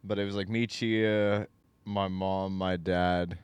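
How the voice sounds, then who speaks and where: A man's voice: sits low, flat delivery, takes it slowly, clean tone, no room sound. A young man co-hosting a casual podcast, rambling and hesitant as he recalls a memory. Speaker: podcast co-host.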